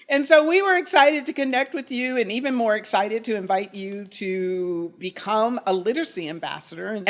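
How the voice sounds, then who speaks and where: A middle-aged woman hosting a podcast interview, asking thoughtful questions with some halting restarts. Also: medium pitch. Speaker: podcast host and CEO.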